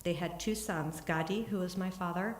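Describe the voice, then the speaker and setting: A woman giving an earnest, steady talk in a synagogue, then answering questions, with small restarts. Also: lowish voice, normal speed. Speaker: congregant giving a family history talk. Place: synagogue sanctuary. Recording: echoey room.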